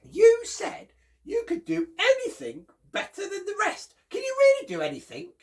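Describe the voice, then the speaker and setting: A squeaky little chipmunk. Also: male voice, wildly swooping pitch, moderate pace, heavily acted. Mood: challenging. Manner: very high, squeaky, piping challenges. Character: tiny cheeky male chipmunk, bold and challenging.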